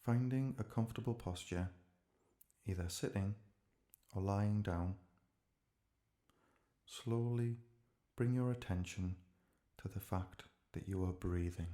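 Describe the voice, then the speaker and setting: A man gently leading a guided relaxation meditation in a calm, measured way, leaving pauses between short phrases. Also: on the low side.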